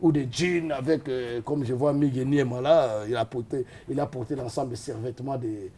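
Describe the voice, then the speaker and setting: A man's voice: mid-range voice, steady tone, a little fast, warm tone. A man born in Liège, talking as a guest in a casual interview, rambling and hesitant with many fillers. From Liège, Belgium.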